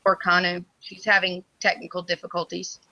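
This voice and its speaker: Loud-voiced woman chatting on a stream. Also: lowish voice, monotone, normal speed, no echo, rough recording. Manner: loud voice, says so herself. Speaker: community project lead. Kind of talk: casual chat while streaming art work. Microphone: computer microphone on a voice chat.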